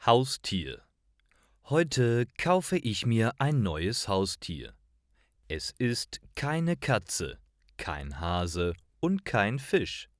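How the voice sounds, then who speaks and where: Male narrator for learner stories: low-pitched, very animated intonation, careful scripted reading. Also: no room sound, studio quality. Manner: careful, deliberate phrasing. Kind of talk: slow scripted story narration for language learners.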